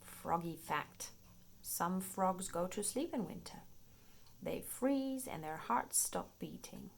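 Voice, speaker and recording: Slow, animated woman reading to children. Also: lowish voice, slight background noise. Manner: expressive, playful, clearly paced for young children. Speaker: children's storybook reader. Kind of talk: read-aloud children's nonfiction storytime narration.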